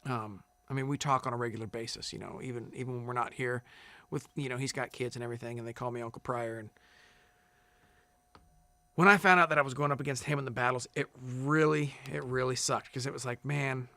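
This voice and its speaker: A man who sings as a contestant, answering interview questions in a relaxed, rambling way with many fillers and restarts. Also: medium pitch, a little fast. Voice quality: big loud singing voice. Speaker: singing contestant.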